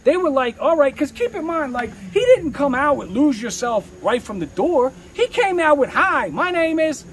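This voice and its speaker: A man's voice: very high-pitched, very animated, moderate pace, a little hiss or hum. A man talking candidly in an interview as a rapper, with slangy, streetwise speech peppered with swearing.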